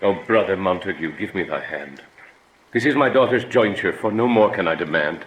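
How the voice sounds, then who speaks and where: A very deep-voiced old lord played by a man speaks in subdued sorrow. It is formal Shakespearean radio acting over faint noise. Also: animated, normal speed, fairly bright tone, low-quality recording. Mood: sorrowful. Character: sorrowful old nobleman making peace with rival. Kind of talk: Shakespearean audio drama acting.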